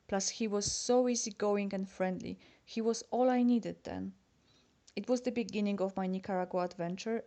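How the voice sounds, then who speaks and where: Polish woman, native Polish speaker, confiding letter-reader, relaxed dark tone, mid pitch. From Poland. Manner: chatty and confiding, addressing a friend. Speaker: letter writer. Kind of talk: reading a personal letter aloud.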